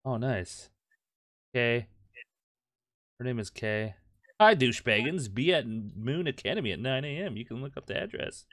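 A man's voice: medium pitch, animated, moderate pace, pauses often, a bit bright, no echo, studio quality. A man doing let's play commentary, reading game text aloud with crude jokes and the odd stumble. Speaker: gameplay host.

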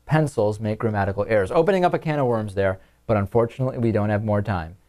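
A man's voice: on the low side, fast, darkish tone. A man in his twenties with an American accent, presenting a political talk show as host in a studio. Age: 20s. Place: small TV studio, indoors.

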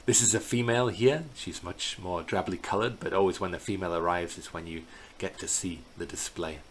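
Low-voiced man, halting, noisy recording. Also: fairly even intonation. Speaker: bird tour guide presenting a virtual tour. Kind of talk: unscripted slideshow narration. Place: indoors in a small plain room. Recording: clear background noise.

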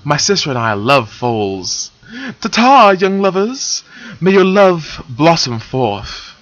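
A man's voice: fairly high pitch, very animated, slow, fairly bright tone, highs cut off, pitched above the performer's own voice, full character voice. A man voicing a gushing, romantic princess who is a younger sister, in a raised put-on voice. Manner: gushing and gleeful.

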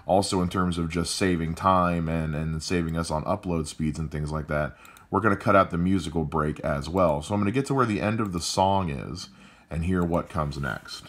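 A man with a loud voice calmly walking through how he edits a podcast episode, step by step. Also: low-pitched, moderate pace. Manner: calm step-by-step explaining. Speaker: podcast editor. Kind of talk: explaining a screen-recorded editing walkthrough.

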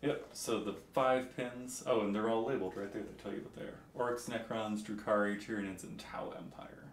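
A man's voice: lowish voice, steady tone, moderate pace. A man speaking casually as an off-camera companion, away from the microphone, adding commentary. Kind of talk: casual chat. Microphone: off camera, away from the mic. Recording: distant, echoey, faint room noise.

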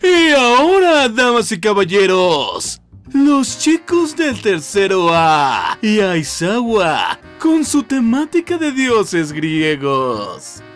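Flamboyant, high-pitched announcer. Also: male voice, wildly swooping pitch, a bit bright, soft background music, heavily acted. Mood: showy. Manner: showy, drawn-out announcing. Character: flamboyant, loud, cheerful male contest announcer.

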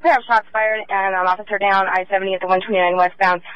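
A man's voice: sits high, little pitch movement, clear, resonant tone. A man working as a police dispatcher, giving terse radio codes over a radio channel. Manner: fast, clipped radio codes. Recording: lo-fi radio transmission.

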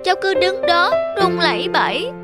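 A woman voicing a timid, honest boy of about fifteen in a cartoon, soft and pleading. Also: extremely high pitch, very animated, normal speed, over loud music. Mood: ashamed. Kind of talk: cartoon voice acting.

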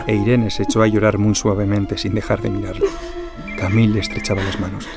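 A man's voice: fairly low pitch, level tone, fairly quick, gravelly, over loud music. A man reading scripted literary narration for a crime drama. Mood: solemn. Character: solemn crime-story narrator.

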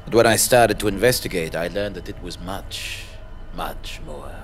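A man's voice: sits low, normal speed, soft background music, natural voice. A man playing an earnest, self-important investigative journalist, narrating a podcast parody on stage in a pompous, dramatic way. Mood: grandly earnest. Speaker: narrator and lead actor. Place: small theatre stage, live show.

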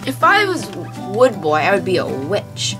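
A teenage boy bantering with deadpan jokes at an outdoor campsite. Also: high-pitched, unhurried, recorded from a distance, over music. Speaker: son. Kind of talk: casual banter.

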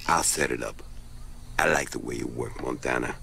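Super deep croaky drug lord, shrewd and sure of himself, video game cutscene. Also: male voice, moderate pace, pushed and bright, a little hiss or hum, full character voice. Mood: confident, smooth. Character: shrewd, self-assured island drug boss, smooth and menacing.

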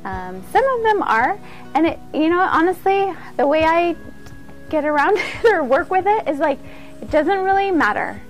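A woman's voice: very high voice, big pitch swings, normal speed, faint music behind. A woman giving an informal instructional talk as a yoga teacher, conversational and earnest.

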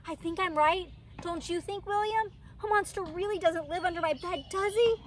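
A woman's voice: very high voice, normal speed, pitched above the performer's own voice. A woman voicing an anxious, pestering little schoolgirl from a children's storybook, pleading and repeating herself insistently. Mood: nervous pestering. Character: anxious, pestering little schoolgirl narrating her own troubles. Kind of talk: children's storybook read-aloud, dramatized. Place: outdoors in a wicker pod chair under trees. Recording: outdoor ambient noise.

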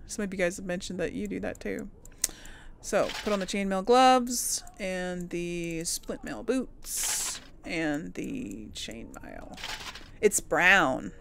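A woman's voice: on the low side, lively intonation, slow pace, vocal fry, noisy background. A woman hosting a game playthrough, chatting casually to viewers and musing aloud to herself. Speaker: host of a gaming playthrough series. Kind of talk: casual let's play commentary, chatting to viewers.

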